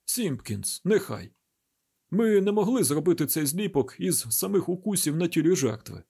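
A man's voice: on the high side, sing-song, normal speed, creaky, dark tone, slightly rough, pitched above the performer's own voice, exaggerated voice. A man voicing a testy, exacting chief medical examiner in an audiobook, formal and precise in manner. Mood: controlled, explanatory.

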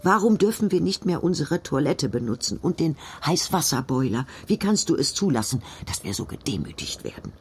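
Low-voiced, aggrieved old grandmother, swooping intonation. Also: big pitch swings, a little fast, few pauses, slight background noise. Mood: indignant. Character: aggrieved, devout old grandmother, pleading and reproachful.

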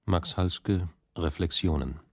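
A man playing an impersonal announcer of scene titles in a radio play, with a gravelly voice. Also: deep voice, slow pace, heavily acted. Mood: neutral, formal.

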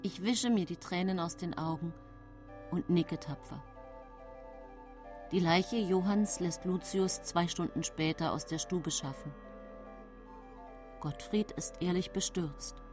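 A calculating woman in her thirties telling her own story for a radio play. Her voice is fairly low and controlled, matter-of-fact in delivery, over faint music. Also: slow pace, long pauses. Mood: composed, confiding. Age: 30s. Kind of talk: first-person radio drama narration.

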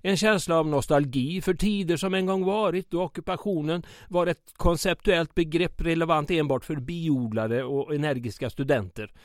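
Man playing wry small-town mayor, higher-pitched voice. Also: hardly any pauses, no room sound, clean studio sound, close to an everyday voice. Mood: dryly courteous. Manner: ornate, dryly ironic, deferential on the surface. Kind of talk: old-time radio comedy drama acting.

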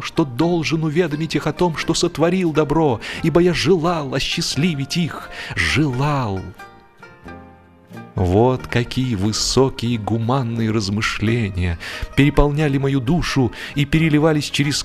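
A man narrating a humorous literary story in a theatrical, measured, mock-solemn way. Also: medium pitch. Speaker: audiobook narrator.

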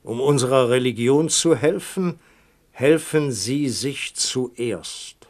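A man's voice: medium pitch, takes it slowly, lightly acted. A man playing a scheming, insistent duke in a radio drama, speaking in a pressing, calculating way. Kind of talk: 1970s radio drama acting.

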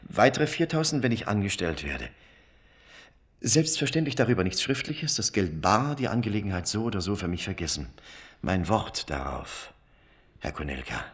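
Low, calculating, formal man. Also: very animated, moderate pace, fairly bright tone. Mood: insistent, secretive. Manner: clipped, formal, insistent. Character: formal, calculating male job applicant offering a discreet bribe. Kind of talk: German radio crime play dialogue.

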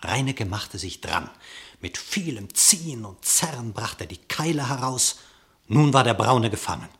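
A man narrating a verse fable theatrically, with dramatic, expressive declamation. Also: medium pitch, a little slow. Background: music.

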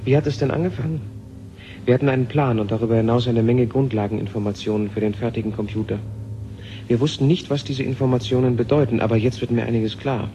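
A man's voice: medium pitch, fairly even intonation, fast, warm tone, faint music behind, close to an everyday voice. An actor playing an insistent, argumentative male scientist in a 1970 German radio drama, speaking with emphatic intensity. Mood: intent. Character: insistent male scientist warning of an alien machine. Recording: noisy 1970 radio play recording.

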